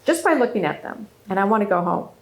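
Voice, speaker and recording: Woman, 50s, from Southern California, native German speaker, gravelly voice, earnest and animated, often restarting her sentences. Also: mid-range voice, quick pace, rough recording. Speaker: guest speaker. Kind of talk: interview conversation.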